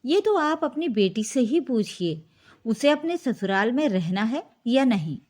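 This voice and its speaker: Scornful high-voiced mother-in-law, played by a woman. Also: big pitch swings, measured pace. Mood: scornful, accusing. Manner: sharp-tongued, accusing. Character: scornful, accusing middle-aged mother-in-law.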